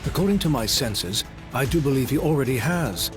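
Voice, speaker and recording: Man acting a formal, expressive superhero. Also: mid-range voice, brisk, natural voice. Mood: grave concern. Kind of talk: video game cutscene acting. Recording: loud music behind.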